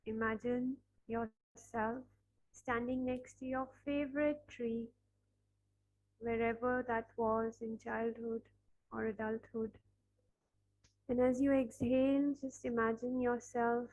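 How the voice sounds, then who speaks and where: Slow, soft-spoken meditation guide woman. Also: fairly high pitch, low-quality recording. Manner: slow, soft, pausing between phrases.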